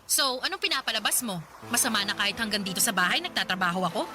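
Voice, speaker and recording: A woman playing an irritable, sarcastic young career woman in a Filipino radio drama, with sharp, exasperated delivery. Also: medium pitch, animated, very fast, over music, natural voice. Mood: defensive, sarcastic. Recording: clear noise behind the voice.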